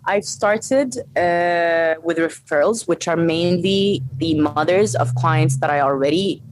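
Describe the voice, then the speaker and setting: A woman from Saudi Arabia whose first language is Arabic, a fitness coach speaking as an interview guest in an animated, laughing way. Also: on the low side, slow, a little hiss or hum, poor audio. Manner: animated, laughs often, frequent restarts. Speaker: guest, strength and conditioning coach. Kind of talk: casual interview conversation.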